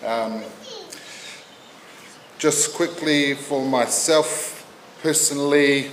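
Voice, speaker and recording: A man in his fifties speaks at a funeral in a voice of middle pitch, pausing and searching for each phrase. His words come heartfelt and unpolished, and the room around him sounds echoey with a faint hiss underneath. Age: 50s. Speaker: family member giving a eulogy. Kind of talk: funeral eulogy. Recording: roomy echo.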